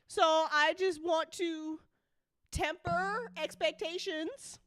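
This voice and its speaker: A woman's voice: very high-pitched, steady tone, takes it slowly, fairly bright tone, no room sound, clean studio sound. A woman hosting a casual after-show chat in a playful, teasing, emphatic manner with some swearing. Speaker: host and show creator.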